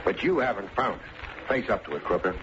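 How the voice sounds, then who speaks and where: An actor playing a wry, wisecracking American private detective and narrator in an old-time radio drama. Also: male voice, lowish voice, very animated, fairly quick. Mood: matter-of-fact, pressing. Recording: muffled, noisy old radio recording.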